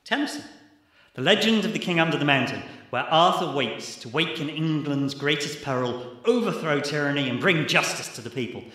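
A man's voice: on the high side, lively intonation, brisk, bright, forceful tone. A man acting a chatty, wry young geology researcher in a radio drama. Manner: wry, talkative. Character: chatty, skittish male geology postdoc, poetry-loving and wry. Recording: echoing, cave-like reverberant sound.

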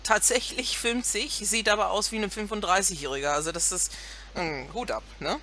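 Thirties woman co-host, English first language, bright tone, headset at home, halting delivery. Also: medium pitch, a little hiss or hum. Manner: hesitant, many restarts, laughs often. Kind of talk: casual chat.

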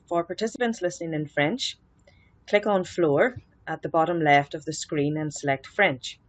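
Low-voiced Irish woman giving a webinar. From Ireland. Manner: earnest, explanatory, with frequent fillers and restarts. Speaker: webinar presenter, social worker. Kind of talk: webinar lecture. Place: small office indoors. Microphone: laptop webcam, video call.

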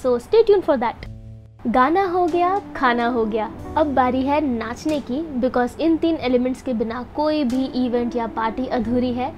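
A young woman's high voice runs through a chatty, upbeat voice-over for her vlog. She talks straight to the viewers and keeps things friendly. Faint music plays behind her. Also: a little slow, darkish tone, lo-fi sound. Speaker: food and event vlogger. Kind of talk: voice-over narration mixing Hindi and English.

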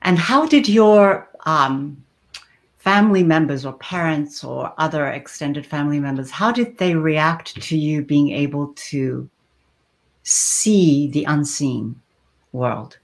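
A middle-aged woman hosting a video call interview, asking slow, thoughtful questions. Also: deep voice. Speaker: host. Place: small room indoors. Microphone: webcam on video call.

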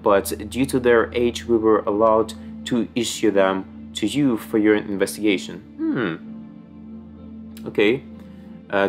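Man, Ukrainian accent, late twenties, lowish voice, gaming commentary, reads on-screen text, thinks aloud. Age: young adult. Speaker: gaming streamer. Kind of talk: casual let's play commentary. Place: small room at home.

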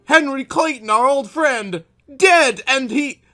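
A man's voice: very high voice, expressive, takes it slowly, bright, forceful tone, voice pushed up, full character voice. A man voicing an alarmed, protective young newlywed husband in an earnest, melodramatic horror comic dramatization. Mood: shocked.

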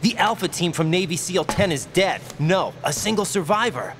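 Young rookie soldier, kinda high voice, earnest and asking stuff, game cutscene w music. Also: male voice, expressive, normal speed. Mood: serious, uneasy. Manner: questioning, earnest. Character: earnest, naive young male special-ops rookie. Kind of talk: video game cutscene acting.

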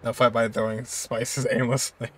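A man's voice: medium pitch, flat delivery, normal speed, fairly bright tone, soft background music. A young American man giving casual reaction commentary on a game. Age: young adult. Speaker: streamer reacting to game cutscenes.

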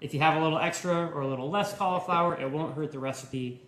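A young man in his twenties giving a relaxed, step-by-step live cooking demonstration in a home kitchen, filmed by a camera at a distance. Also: fairly high pitch, quick pace, roomy sound. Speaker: cooking instructor.

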